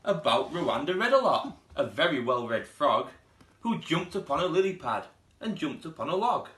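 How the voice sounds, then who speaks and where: A man's voice: on the high side, moderate pace, reverberant. A man, a primary school teacher reading a children's storybook aloud expressively. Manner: expressive, putting on character voices.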